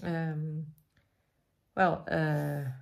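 A woman in her thirties speaks English with a Flemish accent, as a native Dutch speaker. Her voice sits a little low and her pace is very slow. She chats informally to the camera indoors, pausing hesitantly as she searches for words. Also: little pitch movement, gravelly. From Belgium. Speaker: vlogger showing her artwork. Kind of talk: casual chat to camera. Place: living room, indoors.

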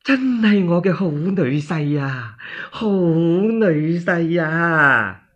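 A man voicing a doting, quick-tempered elderly noblewoman in Cantonese, exclaiming in drawn-out, imperious phrases for a radio story. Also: a little high, lively intonation, fairly bright tone, full character voice. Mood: delighted. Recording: dull lo-fi radio recording.

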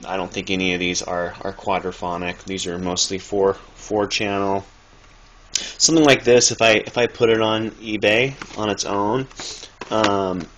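Male reseller vlogger, lowish voice, unscripted and halting, amateur phone audio with noise. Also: a bit bright. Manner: halting, loosely improvised. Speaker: video maker, thrift reseller. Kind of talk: casual rambling how-to talk. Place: cluttered dim room indoors. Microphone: handheld phone. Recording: noisy amateur phone recording.

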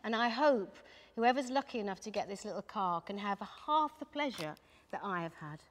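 A woman's voice: medium pitch, expressive, moderate pace. Chatty woman in her seventies. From England. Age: 70s. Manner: warm, chatty, laughs often. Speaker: car owner, interviewee. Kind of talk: casual interview. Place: large car showroom, indoors. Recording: echoey showroom hall.

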